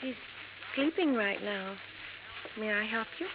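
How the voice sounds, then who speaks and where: An actress playing a polite, dutiful young daughter in a 1950s radio drama. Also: female voice, a little high, expressive, a little slow. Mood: polite, uneasy. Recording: tinny, noisy old radio recording.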